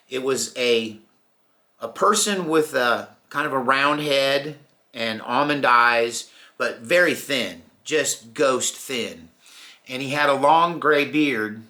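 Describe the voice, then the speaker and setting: A middle-aged American man telling personal stories informally, in a folksy, reflective manner with unhurried pauses. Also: mid-range voice, sharp tone. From Texas, United States. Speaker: storyteller, channel host.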